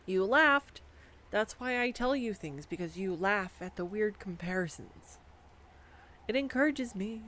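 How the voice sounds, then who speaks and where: A woman's voice: medium pitch, slight background noise. A young woman performing a scripted girlfriend roleplay monologue, chatty and giggly, talking to the listener. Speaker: voice actor playing a girlfriend. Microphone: studio condenser mic.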